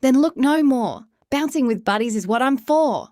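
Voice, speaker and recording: Big male kangaroo, done by a woman, storybook, bouncy, exuberant, friendly, raised pitch, dry recording. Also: lively intonation, moderate pace, a little mellow, no echo. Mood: cheerful, eager. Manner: exuberant, rhyming.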